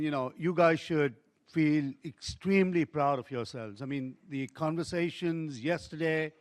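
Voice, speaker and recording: A man moderating a panel, effusive in his praise, with many fillers. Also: a little high. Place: conference hall.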